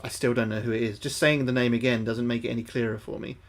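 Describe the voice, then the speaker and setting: A man's voice: mid-range voice, steady tone, fast. A young man livestreaming a game, thinking aloud to his chat, sweary and repeating himself. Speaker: livestreaming gamer. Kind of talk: casual gameplay commentary to chat.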